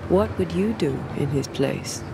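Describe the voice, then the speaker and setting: An actor playing a guarded, dry-witted male ghost hunter with a measured, laconic manner in a video game. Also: on the high side, lively intonation, vocal fry, noisy background. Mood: probing. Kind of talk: dramatic video game acting.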